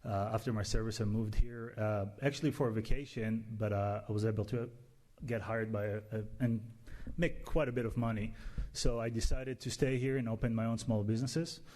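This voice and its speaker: A man from Israel, giving an informal, somewhat halting opening statement as a candidate. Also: on the low side, fairly even intonation, a little mellow. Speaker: mayoral candidate.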